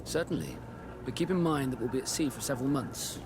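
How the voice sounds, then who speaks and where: An actor playing a proud, wry ship captain in a video game, speaking in measured, salty nautical phrases. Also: male voice, mid-range voice, big pitch swings, background noise, lightly acted. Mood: businesslike. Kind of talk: video game cutscene acting.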